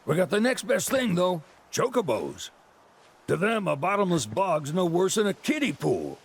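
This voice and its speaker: A folksy old Southern American rancher for a video game. His voice is high and gravelly, swinging up and down as he lays on chipper salesman patter. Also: very animated, normal speed, exaggerated voice. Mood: hospitable, chatty. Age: older. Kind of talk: video game cutscene acting.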